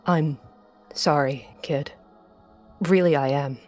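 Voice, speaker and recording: A low, world-weary older man, played by a woman, resigned and regretful as he lays down hard truths. It is a visual novel reading, with faint music underneath. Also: steady tone, slow, a little mellow.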